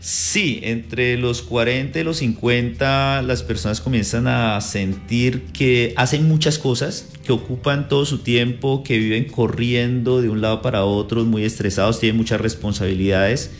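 A man speaking as a psychologist guest on a morning radio show, explaining calmly and step by step. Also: mid-range voice, measured pace, few pauses, soft background music. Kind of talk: calm explanatory radio interview.

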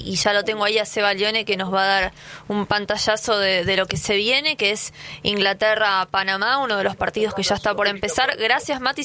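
A woman with an Argentine accent hosting live radio from the studio, handing off briskly to a reporter. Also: mid-range voice, pushed and bright. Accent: Argentine Spanish.

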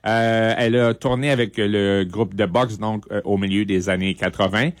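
A middle-aged man with a Quebec French accent, presenting a music segment on radio in a conversational, halting, informative way. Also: lowish voice, steady tone, no echo, studio quality.

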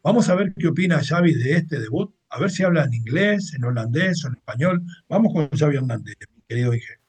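A man's voice: fairly high pitch, lively intonation, brisk, a little mellow, husky. A man with a Mexican accent hosting a football show, chatting through upcoming matches and giving blunt opinions in a casual, talky way. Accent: Mexican Spanish. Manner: chatty, opinionated, translating clips. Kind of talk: casual sports commentary monologue.

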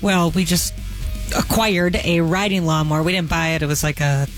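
A woman's voice: lowish voice, moderate pace, soft background music. Chatty, upbeat woman on radio. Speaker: radio co-host. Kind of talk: casual morning radio banter.

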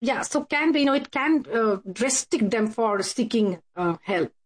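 A woman guest on a radio interview with a slightly high voice. She explains her work supporting clients in a halting way, often pausing and searching for the next word. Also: lively intonation. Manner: halting, hesitant, searching for words. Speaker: guest, support worker for abuse victims.

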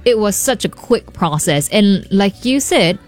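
Lively Singaporean woman radio co-host. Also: medium pitch, unhurried, faint music behind. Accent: Singaporean English. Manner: playful, reactive banter. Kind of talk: casual radio chat. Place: radio studio. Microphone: studio mic.

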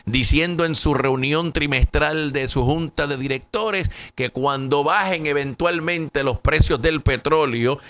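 A man's voice: fairly high pitch, unhurried, few pauses, a bit bright, slight background noise. A man with a Caribbean Spanish accent hosting radio in casual, jokey banter, slipping in English phrases. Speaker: radio DJ host.

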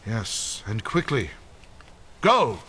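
A man playing a calm, authoritative apostle in a radio drama, speaking in a solemn, preacherly way. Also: mid-range voice, measured pace, slight background noise. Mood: firm. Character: calm, authoritative male apostle leading his flock. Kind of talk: dramatized radio play acting. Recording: music behind the voice.

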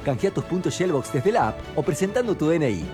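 A man's voice: a little high, sing-song, brisk, music in the background. A man with an Argentine accent reading scripted promotional narration as an advert announcer.

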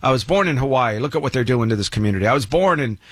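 A man's voice: medium pitch, lively intonation, rapid-fire, husky, no room sound, clean studio sound. A man giving a blunt, opinionated monologue about money and retirement, lecturing with emphatic rises. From United States.

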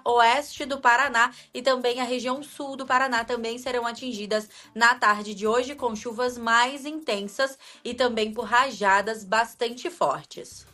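Bright-voiced woman with a mixed Espírito Santo accent. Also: on the high side. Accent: mixed Espírito Santo and Minas Gerais. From Espírito Santo, Brazil. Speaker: weather reporter. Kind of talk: radio weather report.

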